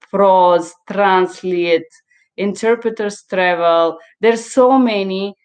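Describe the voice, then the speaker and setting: A woman's voice: mid-range voice, a little mellow. Emphatic woman. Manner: emphatic, blunt, repeats words for stress. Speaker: interpreter and mentor hosting a livestream. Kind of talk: informal live advice talk to viewers.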